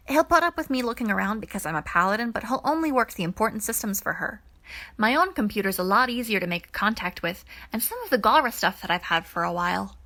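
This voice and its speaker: A woman's voice: on the high side, sharp tone. A woman voicing a clever, curious teenage girl tech whiz in an audiobook, earnest and casual.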